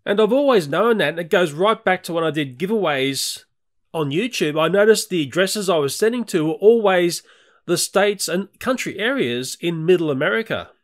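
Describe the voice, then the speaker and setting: A man's voice: high-pitched, animated, moderate pace. A middle-aged Australian man narrating a nature video in a chatty, candid voice-over.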